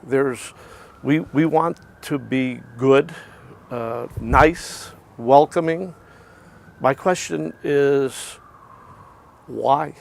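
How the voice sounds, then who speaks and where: An American man from Pittsburgh giving reflective interview answers in a halting, hesitant way. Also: medium pitch, gravelly. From Pittsburgh, USA.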